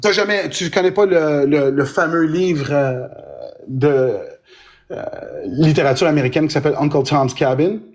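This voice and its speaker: A man's voice: fairly high pitch, normal speed. A man with a Québécois French accent, a lawyer explaining his view in a live-stream debate, often restarting his words. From Quebec. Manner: explanatory, hesitant with frequent restarts.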